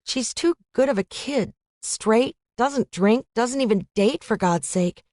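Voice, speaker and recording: A woman's voice: on the high side, lively intonation, a little slow, darkish tone, no echo, pitched above the performer's own voice. A woman voicing a young female college student who is anxious and pleading about her missing roommate. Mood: worried.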